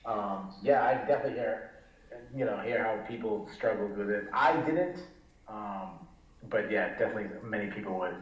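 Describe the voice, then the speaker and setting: A man's voice: fairly low pitch, moderate pace. A man with a Rhode Island accent, a guest chatting casually on a podcast in a rambling way with many fillers and restarts. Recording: echoey, dull lo-fi remote audio.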